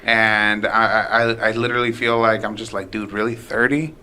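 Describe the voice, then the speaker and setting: A man's voice: fairly low pitch, fairly even intonation, moderate pace, sharp tone. A man in his late twenties, a guest telling stories in a casual, profane, rambling way with many restarts. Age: late 20s. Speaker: podcast guest, aspiring comedian. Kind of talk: casual storytelling chat.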